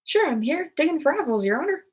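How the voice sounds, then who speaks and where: A woman's voice: high voice, very animated, fast, pitched above the performer's own voice. A woman voicing a deferential, plain-spoken rustic male servant from a storybook, protesting to his master.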